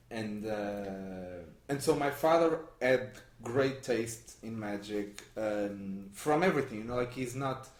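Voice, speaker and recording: A man from Portugal with Portuguese as his first language, a magician guest speaking in a halting conversational interview with many restarts and fillers. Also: lowish voice. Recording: roomy echo.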